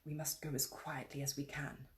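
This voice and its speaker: A woman voicing a timid, gentle male faun who speaks softly and anxiously. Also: low voice, flat delivery, normal speed, pitched below the performer's own voice, lightly acted. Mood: hushed.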